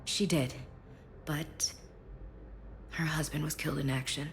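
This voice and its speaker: A woman playing a grieving, guarded soldier and big sister in a sci-fi game, speaking in a hush. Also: deep voice, steady tone, measured pace, a little hiss or hum. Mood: subdued, sorrowful. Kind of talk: naturalistic game dialogue acting.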